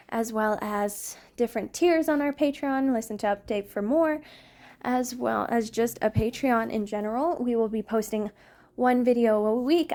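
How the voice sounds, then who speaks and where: A woman's voice: fairly high pitch, darkish tone. A woman who makes ASMR videos, talking casually to her listeners in an informal channel announcement.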